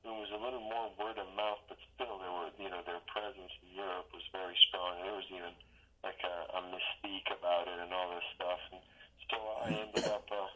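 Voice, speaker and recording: A man in his forties with a very low, husky voice. He talks loosely and unhurriedly in a casual interview, circling back and restarting his sentences as he tells his story. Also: little pitch movement, a little fast, pushed and bright, rough recording. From Serbia. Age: 40s. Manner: rambling, restarts sentences, chuckles at own stories. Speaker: guest drummer.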